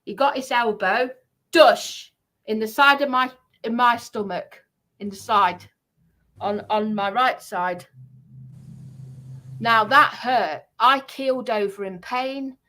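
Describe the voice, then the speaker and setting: A woman's voice: a little high, slow, pauses often, sharp tone. A woman giving a heated personal account on a livestream, speaking in an indignant, emphatic way and repeating herself for stress.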